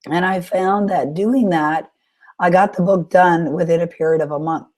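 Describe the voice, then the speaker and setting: Low-voiced woman with a sore throat. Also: steady tone. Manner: warm encouraging coaching talk with frequent "uh" pauses. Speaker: webinar host and author coach. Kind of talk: live webinar Q&A.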